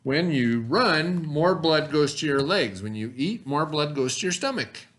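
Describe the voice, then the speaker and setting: Higher-pitched male teacher reading aloud. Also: lively intonation, a bit bright. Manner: pauses to explain, checks in with "okay?". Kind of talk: read-aloud lesson with casual asides.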